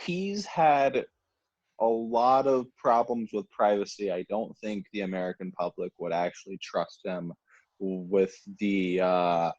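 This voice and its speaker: A man's voice: on the low side, a little slow, a little mellow, no room sound, dull audio. A man in his twenties in casual chat, stammering and mostly agreeing. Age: 20s. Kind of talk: casual podcast banter.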